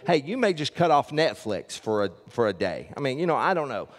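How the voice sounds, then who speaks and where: Folksy older pastor in a big hall. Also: male voice, medium pitch, very animated, a little fast. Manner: folksy, joking with the congregation. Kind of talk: sermon with announcements. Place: large church stage with audience. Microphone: headset mic. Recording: long reverb of a large hall.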